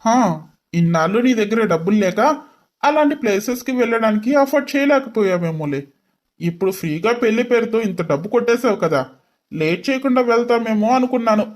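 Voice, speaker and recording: A woman's voice: a little high, lively intonation, brisk, darkish tone, pitched below the performer's own voice, close to an everyday voice. A woman voicing a cold, mocking rich young husband in a drama story, drawling with sarcasm.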